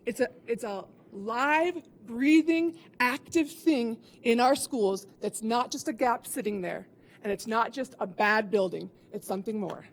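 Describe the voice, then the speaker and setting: A woman's voice: fairly high pitch, a little slow, creaky. A woman from Chicago giving an earnest, instructive keynote talk to educators. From Chicago, USA. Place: conference hall.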